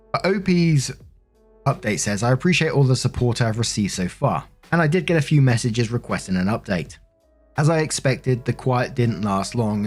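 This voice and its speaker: A man's voice: fairly low pitch, a little mellow. A man narrating online stories in a plainspoken, conversational way, as a host who reads aloud and comments casually. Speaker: narrator and host of a story-reading show.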